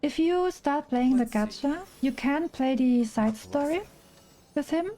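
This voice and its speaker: A woman's voice: high voice, lively intonation, measured pace, dark tone. A woman from Germany with a German accent chats casually as a game streamer, in an informal, giggly and enthusiastic way. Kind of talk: casual chatty livestream commentary. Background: music.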